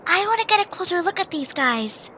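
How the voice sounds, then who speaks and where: A woman's voice: very high voice, big pitch swings, a little fast. A woman voicing a small young female creature, curious, impulsive and a little impatient, in an amateur audio drama. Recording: noticeable background noise.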